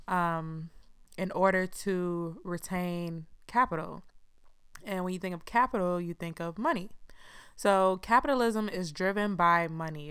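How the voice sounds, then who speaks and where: A young woman hosting her own podcast, talking casually in a chill, low-key monotone with frank, profane asides. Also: clean tone, no room sound. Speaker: podcast host. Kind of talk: casual solo podcast talk.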